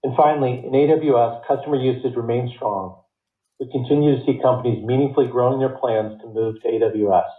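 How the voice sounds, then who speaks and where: Guy on a thin echoey phone line reading out quarterly results, formal and measured. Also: mid-range voice, steady tone. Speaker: company finance executive. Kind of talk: scripted earnings report read aloud. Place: conference call line. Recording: tinny phone line, roomy echo.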